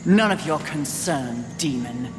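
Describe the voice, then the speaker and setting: A woman's voice: extremely low pitch, lively intonation, unhurried. Echoey with music, a haughty warrior horsewoman from a game, curt, scornful, no patience. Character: proud, impatient female apocalyptic horseman, fierce and scornful. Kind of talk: dramatic fantasy video game acting. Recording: echoing reverb under game music.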